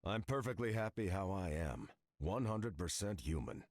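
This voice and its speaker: An actor playing a humble, steadfast soldier hero in a video game, husky, earnest and resolute. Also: male voice, low voice, very animated, normal speed, a little mellow, heavily acted.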